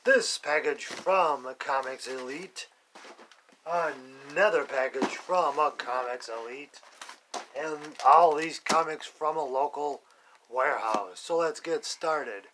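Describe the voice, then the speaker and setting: A man's voice: fairly high pitch, slow, background noise. A young man casually narrating a comic book unboxing, listing issues plainly and cursing bluntly when annoyed, recorded indoors on an overhead phone camera. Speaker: comic collector vlogger. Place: indoors at a table, filming from above.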